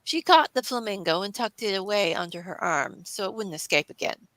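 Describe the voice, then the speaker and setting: A woman reads a classic story aloud as narrator. Her voice is bright and forward at a middling pitch, recorded close and dry. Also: animated, a little fast, dry recording, low-quality recording.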